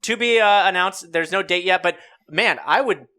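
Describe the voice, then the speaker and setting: A man's voice: sits high, normal speed, sharp tone. A man hosting a podcast, chatting informally as he steers a panel discussion. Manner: informal, sometimes swearing. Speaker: podcast host. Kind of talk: casual panel podcast chat.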